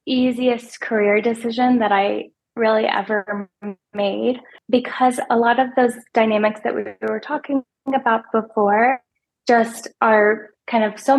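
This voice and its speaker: Woman, thirties, soft-spoken, gravelly texture, halting interview answers. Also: on the high side, rough recording. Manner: hesitant, repeats words while thinking. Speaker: financial advisor guest. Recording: podcast remote interview.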